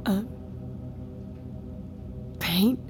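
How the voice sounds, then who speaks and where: A woman acting a guilt-ridden wrongdoer in an audio drama, pleading for forgiveness in faltering phrases that can sink to a whisper. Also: drawn-out words. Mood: hesitant. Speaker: actor in a fiction podcast. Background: faint music.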